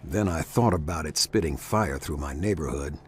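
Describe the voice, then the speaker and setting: A man's voice: moderate pace, very noisy. An actor playing a defiant, brooding American ex-athlete hero who tells his story of revenge in terse, gritty game narration. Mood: brooding, reflective. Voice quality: very deep voice. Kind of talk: noir-like game cutscene narration and dialogue. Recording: loud music under the voice.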